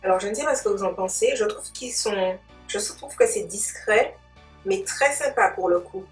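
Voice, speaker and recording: A woman's voice: medium pitch, animated, moderate pace. Chatty woman vlogger in a roomy space. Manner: chatty, playful slang, addresses viewers as friends. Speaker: beauty vlogger demonstrating makeup. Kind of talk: casual makeup tutorial chat. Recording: roomy, distant mic, music behind.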